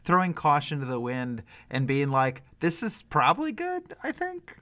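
A man's voice: fairly high pitch, wildly swooping pitch, moderate pace, pushed and bright. A man co-hosting a podcast in a casual, conversational style. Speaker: podcast co-host.

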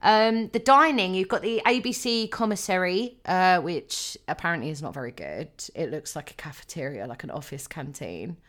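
A woman's voice: fairly low pitch, animated, moderate pace, few pauses, a bit bright. A young woman co-hosting a podcast, chatting casually with many ums and frequent laughs. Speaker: podcast co-host. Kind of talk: casual chat.

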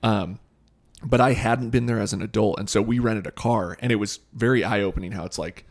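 A man's voice: lowish voice, brisk. A man hosting a podcast in casual chat, friendly, often chiming in with "yeah". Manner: friendly, backchannels constantly with "yeah". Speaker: podcast host.

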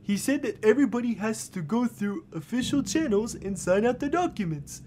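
A woman playing a childlike, lonely and eager records clerk in an audio drama, with a giggly, halting, naive delivery. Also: a little high, lively intonation, mellow, soft background music, natural voice. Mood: hesitant, earnest.

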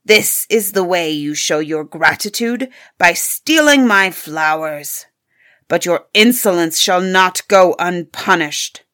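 A woman's voice: on the low side, expressive, takes it slowly, fairly bright tone. A woman voicing a frightful, angry male beast in a storybook, stern and commanding in manner. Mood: furious. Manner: stern, menacing, grim. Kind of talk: storybook character voice.

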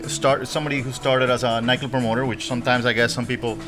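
A man hosting a podcast in casual chat, halting and repeating his words. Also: medium pitch, flat delivery. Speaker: podcast host. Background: music.

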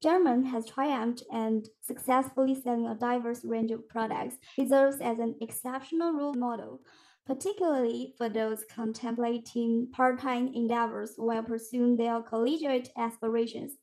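A woman's voice: on the high side, steady tone, normal speed, a little mellow, poor audio. A woman hosting a podcast, reading scripted introductions and questions in a formal, presentational way. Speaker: podcast host.

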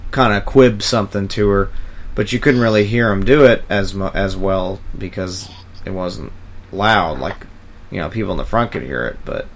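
Casual male talker, deep and creaky, loose meandering delivery, feeling his way through thoughts. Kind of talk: casual rambling chat with frequent profanity.

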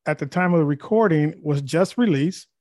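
Higher-voiced male podcast host, dry sound. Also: a little mellow, no echo. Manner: halting, many fillers. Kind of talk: podcast interview.

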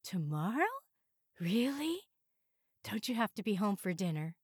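A woman's voice: medium pitch, sing-song, unhurried, husky, no echo, studio quality. Woman voicing a flirty, teasing mistress. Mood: sultry, flirtatious. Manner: playful, teasing.

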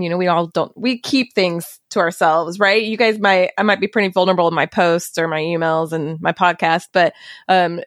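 A woman's voice: lowish voice, dry recording. Dry-sounding woman podcast host. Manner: open, self-reflective, laughs easily. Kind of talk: casual conversation.

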